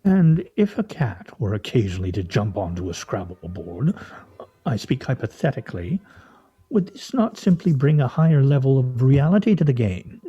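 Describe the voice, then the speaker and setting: A man's voice: medium pitch, sing-song, moderate pace, mellow, slightly rough, lo-fi sound. A man playing a wry, theatrical husband in a comic radio play, speaking in a pompous, mock-formal way. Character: wry, theatrical, teasing husband with grandiose fantasies. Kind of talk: stage radio play comedy acting.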